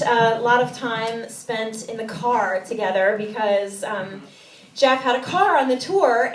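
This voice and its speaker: A woman's voice: fairly high pitch, recorded from a distance, slight background noise. A woman cabaret singer giving casual, chatty, giggly stage banter between songs, often interrupting herself. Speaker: cabaret singer introducing a song. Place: small cabaret stage before an audience. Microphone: distant audience camera. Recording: echoey live hall recorded from afar.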